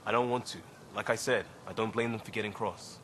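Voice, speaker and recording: Man playing earnest, low-voiced young crewman. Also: steady tone, a little fast, a bit bright, slight background noise, lightly acted. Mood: earnest, apologetic. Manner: informal, candid, a little sheepish. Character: earnest young male crisis-response crewman. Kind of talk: video game voice acting.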